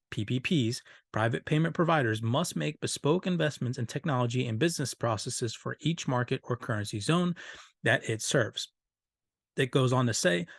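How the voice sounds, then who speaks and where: A man's voice: mid-range voice, no echo. A man hosting a crypto video breakdown, talking viewers through clips and documents with repeated stock phrases. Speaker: crypto channel host.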